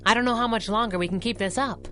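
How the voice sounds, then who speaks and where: A woman's voice: on the high side, fast, a bit bright, soft background music, natural voice. A woman acting a feisty, wisecracking masked hero's sidekick with slangy, teasing speech in a 1930s-style radio drama. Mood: wry.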